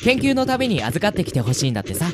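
A woman's voice: fairly low pitch, big pitch swings, rapid-fire, heavy background noise. A woman playing a polite, sensible younger brother, an earnest young mage who chides his elder, in a Japanese fantasy radio drama. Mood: businesslike.

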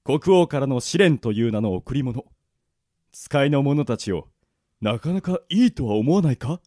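A man's voice: medium pitch, expressive, normal speed, mellow, no room sound, clean studio sound, voice pushed down, natural voice. A man doing a smug, pranking old king. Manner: leisurely, self-satisfied. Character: mischievous older male king, smug prankster.

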